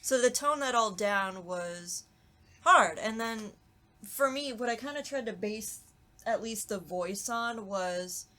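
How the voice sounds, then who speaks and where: Young woman chatting casually, animated and self-deprecating. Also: mid-range voice, slow pace. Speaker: voice actress guest on a podcast talkback. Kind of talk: casual chat.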